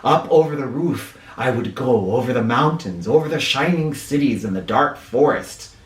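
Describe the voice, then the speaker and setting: A man voicing a wistful old wooden dragon in a storybook, with a whispery, slow, yearning delivery. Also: mid-range voice, animated, lightly acted. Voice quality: whispery, breathy. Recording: roomy, distant microphone.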